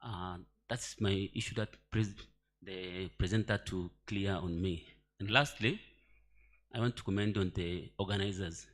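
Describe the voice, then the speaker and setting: A man speaking from the floor at a conference, putting questions and comments in formal, somewhat halting English. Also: low-pitched, fairly even intonation. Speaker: audience member asking questions. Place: conference hall.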